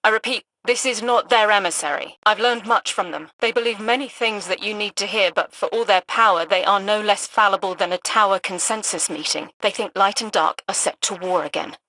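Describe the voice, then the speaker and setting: An earnest female soldier from a video game. She talks quickly and directly, with urgent resolve. The voice is bright and dry, as if close by. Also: mid-range voice, poor audio. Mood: urgent, resolute. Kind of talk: dramatic video game monologue.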